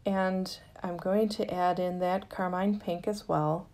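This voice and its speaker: Slow-paced, mellow woman narrating a craft tutorial. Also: on the low side, fairly even intonation. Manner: unhurried, gently explanatory.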